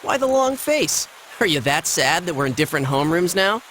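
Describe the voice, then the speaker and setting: A man's voice: a little high, big pitch swings, fairly quick, a bit bright, a little hiss or hum. A man voicing a cocky, teasing schoolboy in an anime game, casual and slangy. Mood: breezy, teasing. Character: cocky, teasing, gossipy teenage schoolboy pal.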